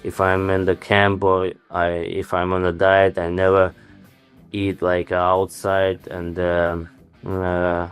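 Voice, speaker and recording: Deep-voiced man in halting second-language English. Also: completely flat delivery, smooth, soft background music. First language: Armenian. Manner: halting non-native English, many fillers. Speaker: interviewed fighter. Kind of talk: casual interview answers.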